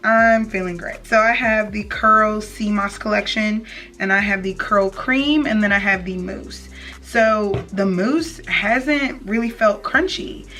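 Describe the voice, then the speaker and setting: A woman's voice: mid-range voice, unhurried, pushed and bright. A young adult woman chatting casually and playfully in slangy speech, like a beauty vlogger giving a hair tutorial. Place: indoor room, plain wall backdrop. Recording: slightly distant mic, faint music.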